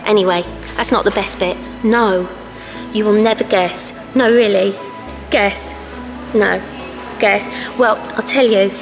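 A woman's voice: mid-range voice, fairly even intonation, measured pace, over music, close to an everyday voice. A middle-aged woman playing a chatty, fussing working-class mum in an audio drama, gossipy and breezy. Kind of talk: audio drama monologue acting.

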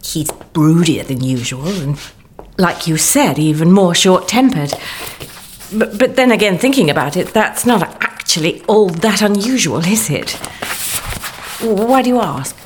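An actress playing a wry, teasing colleague of a secret agent in an audio drama, conversational in delivery. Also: female voice, fairly low pitch, lively intonation, measured pace, a little hiss or hum.

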